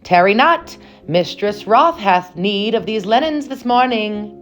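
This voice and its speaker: Woman voicing a gently firm colonial mother. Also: mid-range voice, expressive, a little slow, soft background music, pitched above the performer's own voice, close to an everyday voice. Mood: calling, firm.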